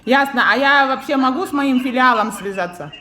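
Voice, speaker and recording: A woman's voice: high-pitched, brisk. A woman in a casual phone conversation, speaking colloquially with a hesitant, questioning manner. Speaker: bank customer receiving a suspicious call. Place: telephone call. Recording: echoey, noisy recorded telephone call.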